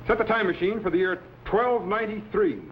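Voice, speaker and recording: A man's voice: high-pitched, brisk, natural voice. An American man playing an authoritative scientist captain in a vintage sci-fi serial, explaining things in an assured, patient mentor's manner. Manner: didactic, assured. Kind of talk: 1950s sci-fi serial acting. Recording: dull, noisy vintage broadcast soundtrack.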